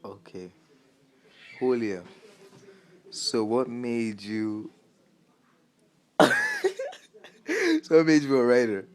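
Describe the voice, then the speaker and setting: A man acting as the interviewer in a casual mock interview, asking halting questions with pauses and restarts. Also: medium pitch, a little mellow. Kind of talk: casual school role-play interview.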